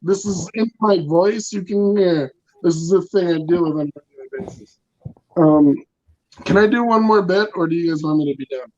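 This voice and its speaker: A man performing a loud, rambling, self-mocking stand-up set over a video call. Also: high-pitched, moderate pace, lo-fi sound, slight background noise. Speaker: stand-up comic in residence.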